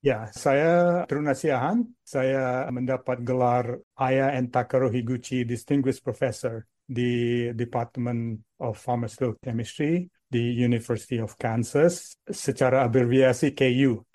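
A man's voice: medium pitch, fairly even intonation, unhurried, dark tone. A middle-aged man from Medan with an Indonesian accent, a professor introducing himself as an interview guest.

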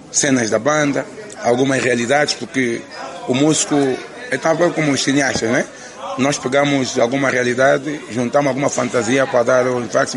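Angolan guy, musician, talks it through earnestly, crowd chatter all around outdoors. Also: medium pitch. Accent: Angolan Portuguese. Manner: earnest, reflective, restarts often. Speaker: musician interviewee. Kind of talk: explanatory interview answers. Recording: crowd noise behind.

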